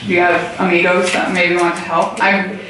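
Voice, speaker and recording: A woman's voice: on the low side, little pitch movement, moderate pace. An American woman talking informally at a meeting, answering questions. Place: indoor meeting room, far mic. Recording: very echoey room, distant mic, faint noise.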